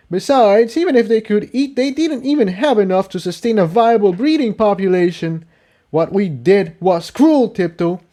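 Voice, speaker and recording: A bitter young male pony in a storybook adventure, acted out by a man, pitched high and full of fear. He speaks in agitated, accusing bursts, with lively swings in tone. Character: anguished, bitter young male pony exile, frightened and snapping.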